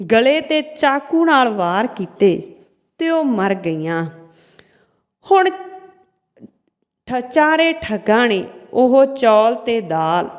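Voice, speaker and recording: A woman narrating a folk tale as a storyteller, in a measured, halting delivery. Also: a little high. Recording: long hall-like reverb.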